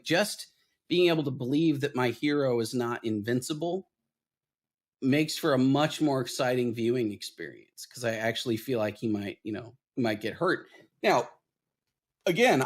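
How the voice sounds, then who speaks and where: A man hosting a casual film discussion podcast, explaining his points in an animated, emphatic way. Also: medium pitch. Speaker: podcast host.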